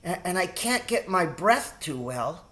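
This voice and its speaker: Give this storybook character a high, frail voice: a sick young mouse boy, acted out by a man. He speaks haltingly and a little breathlessly, weary yet polite. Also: sing-song, a bit bright, heavily acted. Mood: weak, sick.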